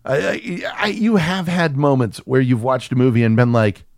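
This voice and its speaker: A man's voice: mid-range voice, big pitch swings, normal speed, creaky, dry recording, studio quality. A man co-hosting a film podcast, chatting casually with profane, joking banter.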